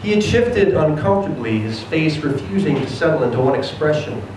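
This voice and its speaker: A man giving an expressive, theatrical reading of a first-person short story. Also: a little high, a little fast. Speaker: reader of a short story at a live event. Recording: very echoey room, distant mic, noisy.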